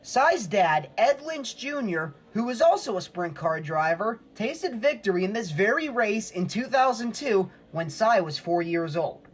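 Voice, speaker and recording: A man's voice: high voice, big pitch swings, unhurried, hardly any pauses. A man working as a sportscaster, delivering play-by-play and scripted reporting.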